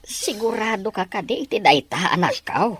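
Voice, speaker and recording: A woman's voice: medium pitch, lively intonation, moderate pace, slight background noise, lightly acted. A woman playing a humble, concerned father of a grown daughter in a melodramatic audio drama, speaking with sympathy. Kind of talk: melodramatic radio-style drama acting.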